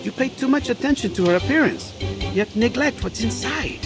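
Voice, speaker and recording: An actor playing a passionate, eloquent Renaissance painter, charming and persuasive, in a visual novel game. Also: male voice, very high voice, lively intonation, normal speed. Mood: impassioned. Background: music.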